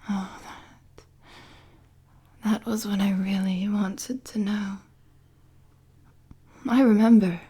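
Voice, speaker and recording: A woman playing an unhinged, flirtatious female supervillain prisoner in a roleplay audio drama, with a breathy, husky, whispery voice and a teasing, mock-sweet manner. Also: medium pitch, dark tone. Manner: slow, teasing, sultry, mock-sweet taunting.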